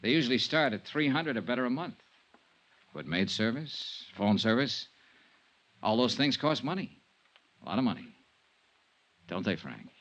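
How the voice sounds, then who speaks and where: Wry, tough radio detective, clipped delivery, wide-ranging pitch, muffled vintage recording. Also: male voice, very animated, normal speed, pauses often, a bit bright. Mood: cool, needling. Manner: clipped, sardonic, hardboiled. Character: tough, wry male insurance investigator, cool under threat. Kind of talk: 1950s radio detective drama acting with first-person narration.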